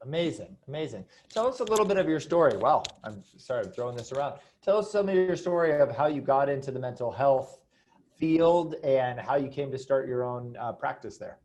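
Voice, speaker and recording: A young man hosts a casual interview in a friendly, upbeat way, affirming his guest with quick interjections. He often trips and restarts his questions as he speaks. His voice sits at an average pitch for a man. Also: expressive, darkish tone. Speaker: interview host.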